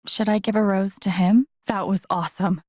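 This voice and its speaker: A woman playing an introspective, gently wry young woman in a video game, musing to herself in a naturalistic, low-key way. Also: steady tone, moderate pace, dry recording, studio quality, natural voice. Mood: warm, musing. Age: young adult. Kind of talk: naturalistic game voice acting, inner-monologue remarks.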